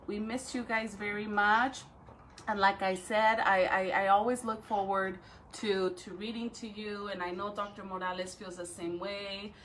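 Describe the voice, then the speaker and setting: A woman talking and reading aloud to young pupils as a school educator, with expressive, drawn-out phrasing. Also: medium pitch, a little hiss or hum, low-quality recording. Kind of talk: read-aloud for children with chatty intro.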